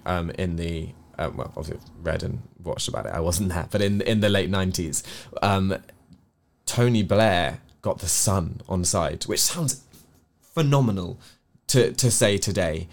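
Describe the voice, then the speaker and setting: A man with an English accent speaks in a low register. He talks as a co-host on a news review, setting out his views earnestly. His delivery is conversational and explanatory, with careful hedging. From England. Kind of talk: conversational political discussion.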